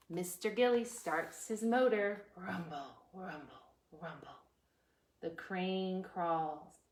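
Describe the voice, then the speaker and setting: A woman hosting a preschool storytime, reading a picture book aloud in a sing-song way and putting questions to young listeners. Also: on the low side. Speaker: children's librarian, storytime host. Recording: roomy, echoey indoor sound.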